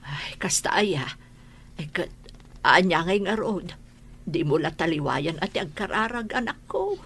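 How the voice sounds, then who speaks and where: An actress playing a caring, fussing older village auntie in an Ilocano audio drama. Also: female voice, on the low side, very animated, measured pace, fairly bright tone, husky, exaggerated voice. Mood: concerned, motherly. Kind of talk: Ilocano radio-style audio drama acting.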